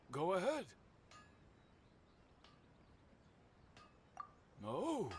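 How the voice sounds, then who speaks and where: An actor playing an older master swordsmith in a video game, courteous and grave, telling old history in a measured, formal way. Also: male voice, fairly high pitch, slight background noise. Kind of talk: video game character acting.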